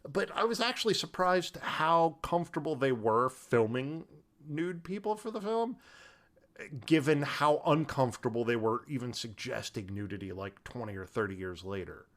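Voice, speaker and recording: Animated man in casual, emphatic conversation. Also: mid-range voice, moderate pace. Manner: emphatic, incredulous, colloquial. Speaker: podcast co-host discussing a film. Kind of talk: casual chat.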